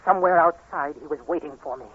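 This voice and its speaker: A man's voice: fairly high pitch, quick pace, a crowd in the background, close to an everyday voice. Anxious blind man in old radio suspense acted by a man. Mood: brooding dread. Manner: tense, dramatic, haunted. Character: Gordon, anxious blind man haunted by fear of a killer. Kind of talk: 1940s radio acting, first-person suspense narration. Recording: dull, noisy 1940s radio recording.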